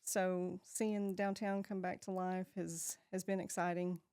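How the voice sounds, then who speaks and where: A low, gravelly woman in her fifties talks easily as a podcast guest. She laughs a lot and lets her sentences trail off before picking them back up. The sound is close and dry. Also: little pitch movement, measured pace, dark tone, no echo. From Texas, USA. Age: 50s. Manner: laughs often, trails off and restarts. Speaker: guest, shop owner. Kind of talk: casual interview chat.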